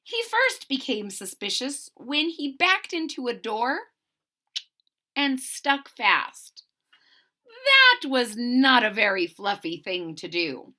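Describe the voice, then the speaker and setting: A woman reading a children's story aloud with exaggerated, drawn-out emphasis and chatty asides to her listeners. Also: fairly high pitch, huge pitch swings, bright, forceful tone. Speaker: storybook read-aloud host.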